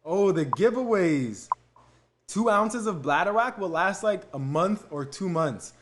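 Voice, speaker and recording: Young man, casual livestream host, slightly high voice, easygoing, reads out comments, chatty with fillers. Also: animated, measured pace, noisy background. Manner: easygoing, reads comments aloud and thanks viewers; fillers and laughs between answers. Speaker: livestream host answering viewer questions. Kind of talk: casual live-stream chat.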